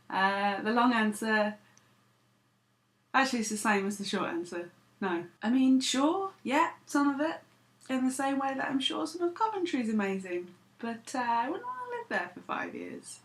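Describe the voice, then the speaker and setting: A woman's voice: a little high, lively intonation, moderate pace. A woman, vlogging to camera in a dry, self-deprecating, sarcastic way. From United Kingdom. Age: 30s. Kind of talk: casual talking to camera.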